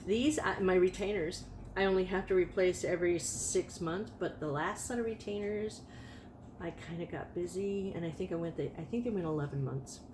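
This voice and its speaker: A woman's voice: fairly low pitch, moderate pace, noisy background. Chatty woman in her late fifties. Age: 50s. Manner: chatty, rambling, with frequent restarts. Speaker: vlogger. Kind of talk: casual personal testimonial.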